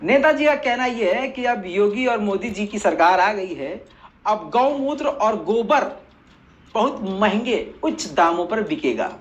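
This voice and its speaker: A man's voice: high voice, lively intonation, unhurried, a bit bright, muffled recording. A man hosting scripted news commentary in a pointed, sarcastic way, addressing his viewers directly.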